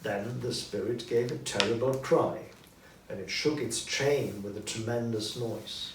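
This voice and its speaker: Slow male storyteller in an echoing room. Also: medium pitch. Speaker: storybook narrator. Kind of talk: expressive scripted storytelling. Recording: roomy echo, distant mic, background noise.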